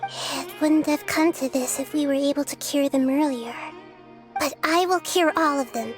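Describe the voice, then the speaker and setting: Casting: woman playing a small, wise forest-goddess girl, high sweet pitch, calm and reassuring, game dialogue over faint music. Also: normal speed, lightly acted. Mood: earnest, compassionate. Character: gentle, wise child-sized girl deity of the forest. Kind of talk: video game voice acting.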